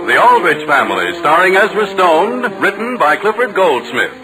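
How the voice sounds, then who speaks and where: A man's voice: high voice, very animated, moderate pace, loud music behind the voice, poor audio. A man with an American accent, announcing and narrating a 1940s radio show from a script. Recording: vintage 1940 radio broadcast.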